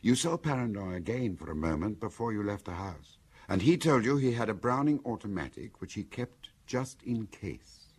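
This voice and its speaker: A gently insistent confidant in an audio drama. His voice is low and husky with lively inflection, prodding in a familiar, knowing manner. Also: normal speed. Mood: gently prompting. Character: prompting male confidant of the inspector, gently insistent.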